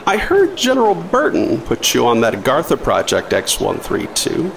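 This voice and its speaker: A man's voice: medium pitch, wildly swooping pitch, moderate pace, creaky, fairly bright tone, a little hiss or hum, heavily acted, low-quality recording. A man playing a sly, mysterious government doctor with an insinuating manner in a radio drama. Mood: sly, knowing. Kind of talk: 1950s-style radio drama acting.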